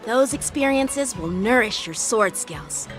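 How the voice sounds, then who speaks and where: Youthful boy swordsman, highish voice, animated, confident, challenging, anime game. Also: a little slow, faint music behind. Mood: approving. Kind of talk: anime-style video game voice acting.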